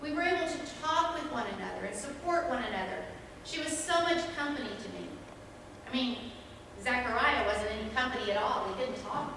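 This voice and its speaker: Echoey, high-pitched devout biblical woman, acted by a woman. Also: expressive, vocal fry. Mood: reflective, confiding. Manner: deliberate, emphatic, with long pauses. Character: Elizabeth, devout expectant mother of John the Baptist, earnest. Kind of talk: dramatic first-person church monologue. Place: church sanctuary. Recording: echoey church hall, distant mic, background noise.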